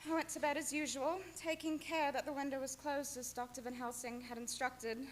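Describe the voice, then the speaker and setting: A woman's voice: high voice, level tone, a little fast, lightly acted. A woman playing a frightened, fragile, lovesick young lady in a melodramatic Gothic radio play. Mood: fearful. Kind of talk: old-time radio drama acting. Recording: clear background noise and ambience.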